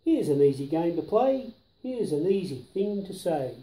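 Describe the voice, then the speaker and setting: A man's voice: fairly high pitch, very animated, moderate pace, warm tone, roomy sound, poor audio. An older man voicing a bossy, playful fox from a storybook who sets tongue-twister challenges in an insistent sing-song way. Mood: playful, coaxing.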